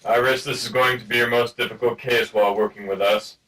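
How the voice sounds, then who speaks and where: An actor playing a stern, self-important secret service chief in a comic spy radio play. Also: male voice, mid-range voice, steady tone, fairly quick, a bit bright, distant mic, natural voice. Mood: official, exasperated.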